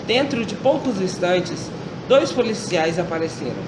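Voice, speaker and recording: A man's voice: high-pitched, animated, measured pace. A man narrating a radio drama as the detective's companion, reading earnestly and steadily. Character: male companion narrating the case. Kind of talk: scripted storytelling narration. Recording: echoey room with loud noise.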